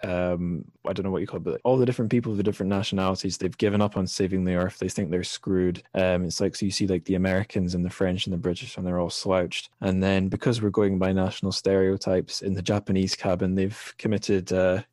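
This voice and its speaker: A man's voice: deep voice, little pitch movement, quick pace, few pauses, darkish tone, no echo, studio quality. A man hosting a podcast, talking casually and thoughtfully as he works through his opinions. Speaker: podcast host. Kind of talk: casual discussion of books and film.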